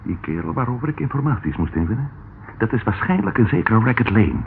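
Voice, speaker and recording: A man's voice: fairly low pitch, sing-song, quick pace. An actor playing a wry, matter-of-fact male police detective reporting in a radio drama. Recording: tinny, noisy old radio recording.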